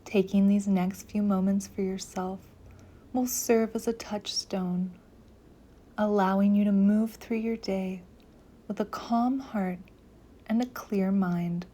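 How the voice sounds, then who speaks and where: A woman leading a guided meditation in a soft, gentle, soothing voice, with phrases often trailing into near-whispers. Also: medium pitch, fairly even intonation, takes it slowly.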